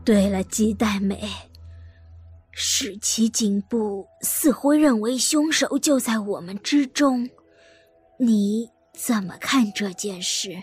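An actress playing a composed, polite old lady who gently probes in an audio drama. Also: fairly high pitch, husky, faint music behind, heavily acted. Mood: gently probing. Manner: courteous, measured.

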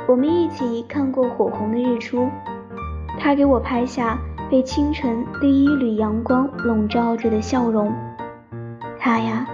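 A woman playing a young, romantic, dreamy student in a radio drama, telling her love story in a soft, sentimental, unhurried way. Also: a little high, natural voice. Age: young adult. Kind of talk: radio drama narration and dialogue. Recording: dull lo-fi recording with piano music. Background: piano music.

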